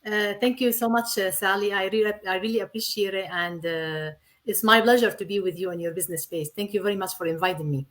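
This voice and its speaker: A woman's voice: mid-range voice, fast, fairly bright tone. A woman from Syria in her thirties, a guest in a casual interview, talking earnestly in simple, repetitive English. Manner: earnest, repeats herself, simple non-native English.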